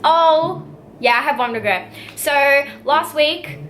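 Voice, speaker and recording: A woman's voice: high voice, lively intonation, slow pace, a bit bright, slight background noise. A nineteen-year-old Australian woman answering viewer questions in a casual vlog, giggly and bubbly, full of "like" and fillers. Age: young adult, nineteen. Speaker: vlogger. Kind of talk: casual Q&A to camera.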